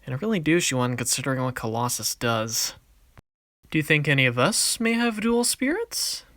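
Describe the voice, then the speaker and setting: A man's voice: a little high, lively intonation, moderate pace, a bit bright, no room sound, clean studio sound. A man voicing a confident, wisecracking young card duelist in an anime fan production, casual and theatrical. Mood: casual, wry. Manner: casual, wry, theatrical when announcing moves.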